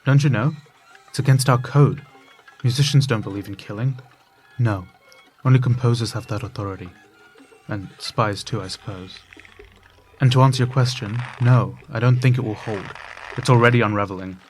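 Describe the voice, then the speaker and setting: An actor playing a world-weary failed musician in hiding, fatalistic and bitter, in an audio drama dialogue. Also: male voice, medium pitch, moderate pace, natural voice. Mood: pressing, fatalistic. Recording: music bed under voice.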